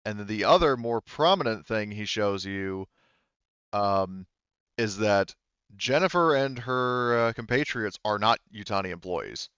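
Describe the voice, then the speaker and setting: A man narrating a tabletop roleplaying game as game master, in a halting, conversational way, rephrasing as he goes. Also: lowish voice, expressive, fairly bright tone, clean tone, no room sound, lo-fi sound.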